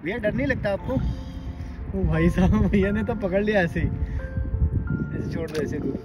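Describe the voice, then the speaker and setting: A man vlogging while wading along a shore at night, chatting excitedly and a little nervous, filmed on a phone. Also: sits high, moderate pace, highs cut off, loud music behind the voice. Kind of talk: casual vlog commentary.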